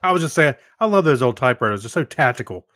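A man's voice: medium pitch, sing-song, quick pace, a bit bright, no room sound, studio quality. An American man co-hosting a podcast in casual, easygoing, joking conversation. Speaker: podcast co-host. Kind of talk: casual chat.

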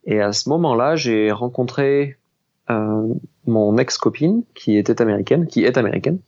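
Thirties guy from southwest france, laid-back chatty storytelling, kinda muffled lo-fi audio. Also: mid-range voice. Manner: relaxed, unhurried storytelling. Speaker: pastry chef and business founder. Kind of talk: casual interview conversation.

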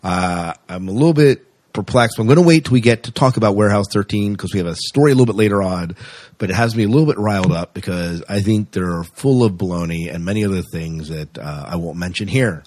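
A man's voice: sits low, fast talker, darkish tone, dry recording. A man co-hosting a podcast in a casual, chatty, jokey way with rambling asides. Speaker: podcast co-host.

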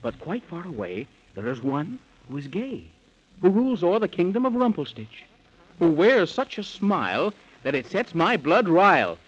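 A man's voice: high voice, big pitch swings, moderate pace, breathy edge. A man narrating a rhyming children's bedtime story in theatrical, sing-song 1930s radio style. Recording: muffled 1930s radio recording.

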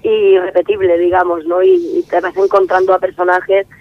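A woman from Barcelona, a singer, answering interview questions in a relaxed, reflective way. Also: fairly low pitch, a little fast, no room sound, lo-fi sound. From Barcelona, Spain. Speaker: singer, guest.

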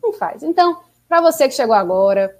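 Upbeat female stream co-host, slightly high voice, chatty delivery. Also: lively intonation, fast. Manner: chatty, upbeat, urging viewers to like and subscribe. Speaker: podcast co-host. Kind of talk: casual live-stream chat.

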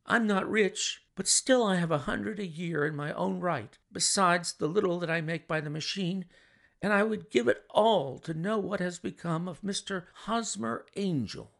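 A man's voice: high voice, expressive, moderate pace, vocal fry, a bit bright, voice pushed up, exaggerated voice. A man voicing a flustered, chatty young woman who speaks eagerly and emphatically. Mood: earnest.

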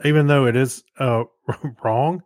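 Mid-pitched man chatting casually, dry close sound. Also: fairly even intonation, unhurried, darkish tone, no room sound. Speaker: podcast co-host. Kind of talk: casual chat.

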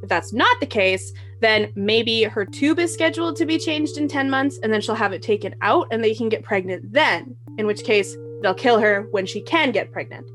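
A woman's voice: on the high side, animated, moderate pace, hardly any pauses, a bit bright. Snarky woman podcast narrator. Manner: snarky, dry, blunt. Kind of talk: sarcastic commentary on a dramatic reading.

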